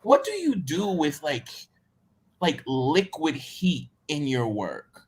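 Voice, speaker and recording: A man's voice: on the high side, wildly swooping pitch, takes it slowly, husky. A man from Mississippi with a Southern American accent, talking casually and reflectively as an interviewer, circling back over phrases as he builds a thought. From Mississippi, United States. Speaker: interviewer, writer in conversation.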